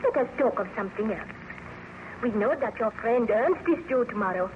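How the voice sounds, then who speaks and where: An actress playing a gentle, anxious baroness, earnest and formal in an old radio drama style. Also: female voice, a little high, expressive, normal speed, lightly acted. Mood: anxious soothing. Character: gentle, anxious baroness, devoted wife soothing her husband. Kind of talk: 1930s radio drama acting. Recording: muffled, noisy old-time radio recording.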